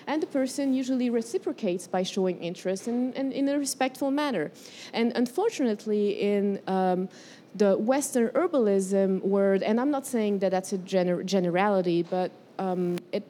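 A woman from Morocco teaching a spiritual herbalism class, leading a guided breathing exercise and speaking gently, unhurried, and often searching for words. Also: mid-range voice, a little hiss or hum.

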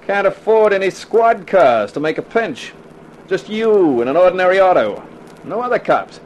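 An actor playing a tough, cool-headed private detective in a 1950s radio drama, terse and wry. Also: male voice, high-pitched, very animated, normal speed, slight background noise, rough recording. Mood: sardonic.

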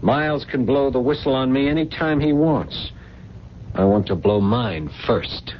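An actor playing a desperate, cold-blooded plotter in a radio mystery drama, speaking in a terse, calculating way. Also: male voice, medium pitch, measured pace, close to an everyday voice. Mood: cold, plotting. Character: desperate, scheming adult son turned cold-blooded plotter. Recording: muffled, hissy 1970s radio broadcast recording.

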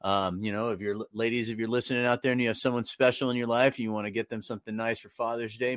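A man's voice: lowish voice, no room sound, clean studio sound. An American man delivering an informal sponsor read for a podcast, in a conversational style. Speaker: podcast host reading sponsor promo.

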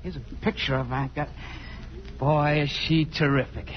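An actor playing a lonesome, tipsy young American army corporal in a 1950s radio drama, hesitant and rambling. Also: male voice, fairly high pitch, steady tone, normal speed, lightly acted. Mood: tipsy, torn. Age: young adult. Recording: muffled vintage radio broadcast with music.

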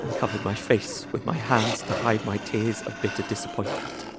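A man's voice: fairly low pitch, flat delivery, normal speed, creaky, very noisy. A young man playing a frightened Englishman who narrates his ordeal in an earnest, tense way for an audio drama. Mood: fearful. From England. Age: young adult. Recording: music score under the voice.

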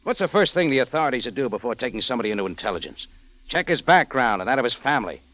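Hard-boiled radio detective, swinging delivery. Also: male voice, medium pitch, big pitch swings, very fast, fairly bright tone, no room sound. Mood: accusing. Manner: hard-boiled, accusing, clipped. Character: shrewd, tough male private-eye adventurer. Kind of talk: 1940s radio detective drama acting. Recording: muffled old radio broadcast recording.

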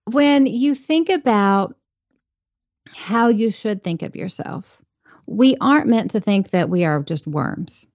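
A woman's voice: mid-range voice, animated, slow pace, a little mellow, dry recording, studio quality. A woman guest on a podcast interview, talking earnestly and reflectively about faith and leadership. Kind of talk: conversational interview.

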